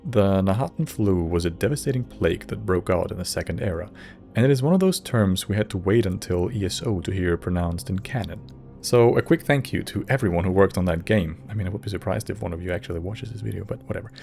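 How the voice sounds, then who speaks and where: Guy with a lowish voice hosting a scripted explainer, chatty asides, music underneath. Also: gravelly, warm tone. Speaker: narrator and host of a video essay. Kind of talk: scripted narration with casual asides.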